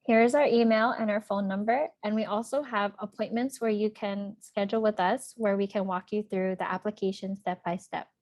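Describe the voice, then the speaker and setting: A woman's voice: mid-range voice, fairly even intonation, moderate pace, few pauses, darkish tone. A woman presenting for a college outreach office, narrating a scripted tutorial with plain step-by-step instructions.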